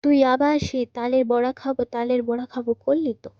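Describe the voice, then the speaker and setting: A woman's voice: high-pitched, little pitch movement, fast talker, no echo, muffled recording, lightly acted. A woman voicing a fretful, scolding but caring village mother in a cartoon. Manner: fussing, chiding. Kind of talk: cartoon voice acting.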